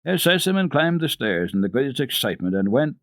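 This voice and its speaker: A man's voice: mid-range voice, big pitch swings, a little fast, fairly bright tone, no echo. Dry-sounding man narrating a children's classic. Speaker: host and reader of a children's radio program.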